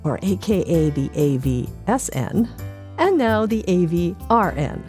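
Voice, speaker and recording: A woman's voice: fairly low pitch, big pitch swings, unhurried, a little mellow, faint music behind. A woman with a Canadian accent reading a scripted newsletter in a light-hearted way for a podcast.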